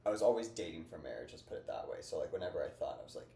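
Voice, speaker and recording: A man's voice: low voice, lively intonation, racing, gravelly, mellow. A young man in his twenties hosting a casual podcast chat, rambling and upbeat, full of fillers. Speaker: podcast host. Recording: echoey room with faint noise.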